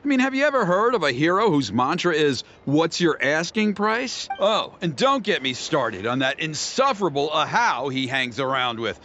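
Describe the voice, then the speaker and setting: Dramatic middle-aged dad from a tribe, gossipy and theatrical, really animated up-and-down voice. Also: male voice, a little high, moderate pace, hardly any pauses, slight background noise. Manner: dramatic storytelling, gossipy grumbling.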